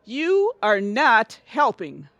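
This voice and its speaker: A woman's voice: fairly high pitch, huge pitch swings, takes it slowly, fairly bright tone, no room sound, exaggerated voice. A woman playing a panicky, accusing housewife in an audio theatre comedy, exasperated and dramatic. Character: panicky, accusing housewife jailed after a bar fight.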